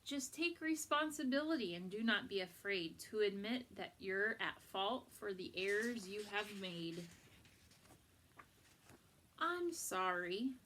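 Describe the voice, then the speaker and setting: A woman reading a picture book aloud to children in an expressive, deliberate, instructive way. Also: mid-range voice, slight background noise. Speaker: storybook reader for children.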